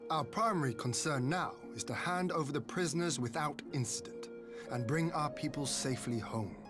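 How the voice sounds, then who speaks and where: A man's voice: on the high side, moderate pace, lightly acted. A man voicing a composed noble leader. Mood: steady. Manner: formal and composed. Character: calm young male leader, noble and steady. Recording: game dialogue over background music.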